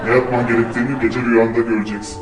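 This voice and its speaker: A man's voice: mid-range voice, little pitch movement, very fast, recorded from a distance. A man playing a dry, teasing fairy, his voice drenched in reverb. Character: wry fairy godfather in a church. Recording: echoey, from the mic, music behind.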